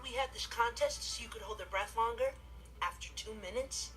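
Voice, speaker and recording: A man's voice: very high-pitched, a little fast, sharp tone. A young man playing an eager, earnest, dorky teenage stepbrother in a scripted sitcom monologue to camera. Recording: music behind TV dialogue.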